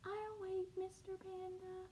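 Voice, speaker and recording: A shy little penguin from a picture book, as a woman puts it on. The voice is high, soft and hushed. It speaks slowly and gently. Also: level tone.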